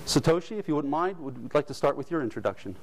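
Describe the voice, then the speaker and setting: A man moderating a conference panel, speaking in a measured, formal manner as he makes announcements and introduces the panelists. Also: fairly high pitch, expressive, slight background noise, low-quality recording. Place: conference hall with audience.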